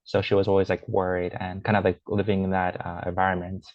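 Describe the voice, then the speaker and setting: A man's voice: deep voice, monotone, quick pace, no room sound, lo-fi sound. A young man, a guest, talking in a casual personal conversation, hesitant, with many fillers and restarts. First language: English; Chinese is his second language. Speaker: interview guest.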